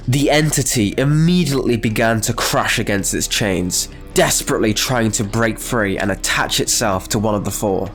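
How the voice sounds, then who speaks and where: A man's voice: fairly low pitch, fairly bright tone. A man reading scripted, dramatic story narration as the narrator.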